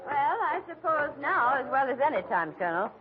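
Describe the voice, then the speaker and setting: A woman playing an imperious, formal elderly society matriarch with a husky voice in a 1940s-style radio drama. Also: sits high, sing-song, fairly quick, crowd noise. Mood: commanding, ceremonious. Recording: tinny old radio broadcast.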